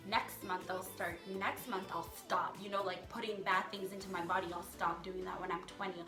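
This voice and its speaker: A woman's voice: on the low side, little pitch movement, normal speed. Echoey young woman chatting candidly over music. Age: young adult. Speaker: vlogger. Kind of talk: casual motivational chat to followers. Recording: echoey room, music underneath.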